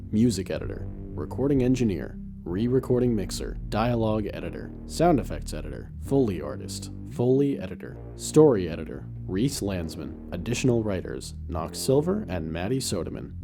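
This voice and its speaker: A man reading scripted credits as an announcer. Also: lowish voice. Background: guitar music.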